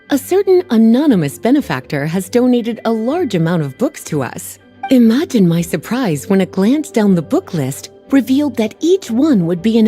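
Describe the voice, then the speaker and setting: A warm, teasing librarian. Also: female voice, on the high side. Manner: teasing, unhurried, coy. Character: teasing, languid adult woman librarian mage. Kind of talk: video game cutscene dialogue.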